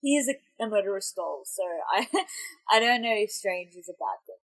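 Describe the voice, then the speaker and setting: A young Australian woman talks through a trailer reaction in a loose, rambling way. She often corrects herself mid-sentence. Her tone is bright and forward, with a stuffy, congested edge from a cold. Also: mid-range voice, lively intonation, moderate pace, poor audio. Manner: chatty and rambling, self-correcting. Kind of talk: casual reaction commentary.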